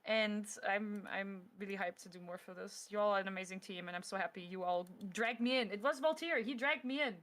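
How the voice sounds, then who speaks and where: A woman's voice: medium pitch, fairly quick, hardly any pauses, fairly bright tone. A woman who does voice acting, chatting on a livestream in an upbeat, effusive way. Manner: upbeat, gushing thanks and sign-off. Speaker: voice actor and guest streamer. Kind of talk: casual livestream chat.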